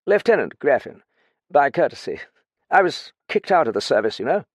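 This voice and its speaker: Drunk pompous ex-officer, voiced by a male reader: high, blustering, husky and grand. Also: very animated, moderate pace, no room sound, studio quality. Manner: slurred dignity, giggling, blustering. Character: drunk pompous ex-officer secretary, lanky, vague.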